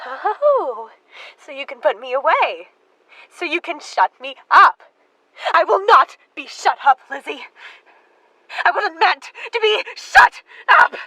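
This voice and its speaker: A young woman acts out an unhinged, devout killer sister in a radio play. Her voice is very high and she speaks slowly, halting and fervent, in a close, dry recording. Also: very animated, bright, forceful tone, no echo, exaggerated voice, low-quality recording. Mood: frantic, defiant. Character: Emma, devout, unhinged axe-murdering sister, adult woman. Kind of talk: high school audio drama acting.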